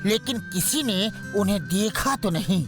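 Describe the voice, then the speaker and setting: An actor voicing a skinny, clever, anxious cartoon man with a dramatic, expressive way of talking. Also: medium pitch, measured pace, over music, natural voice. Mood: explaining. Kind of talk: exaggerated Hindi cartoon voice acting.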